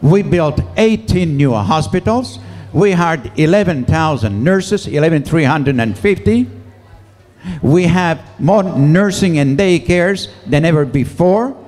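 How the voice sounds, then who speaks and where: A man's voice: a little high, lively intonation, slow, a little mellow, in a large hall. A male political candidate answering a question at a public debate, emphatic and defensive. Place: public meeting hall.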